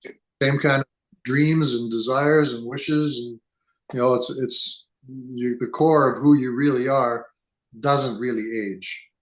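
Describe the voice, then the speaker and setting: A man's voice: medium pitch, steady tone, slow. A man in his seventies, born in England, talking thoughtfully as a podcast guest and often restarting his sentences. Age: 70s. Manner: thoughtful, rambling with frequent restarts. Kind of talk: relaxed conversational interview. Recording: echoey room.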